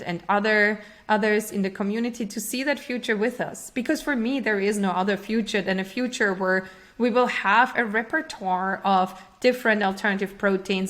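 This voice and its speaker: A woman's voice: mid-range voice, a bit bright. Austrian-born woman, earnest startup founder. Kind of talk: interview answers.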